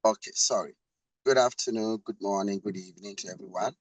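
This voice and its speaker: A man speaks earnestly on a video call. His voice is low and dry, with no room sound. He pads his points with fillers and repeats. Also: fairly quick, breathy edge, no room sound, poor audio. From Ghana. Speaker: panel speaker. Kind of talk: prepared talk.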